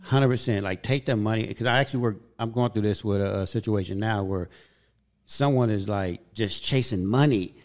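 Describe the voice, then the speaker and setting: A man's voice: lowish voice, normal speed, a little mellow. A man in his fifties hosting a podcast, telling stories in an earnest, upbeat way during a casual chat. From Southern California. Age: 50s. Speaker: podcast host.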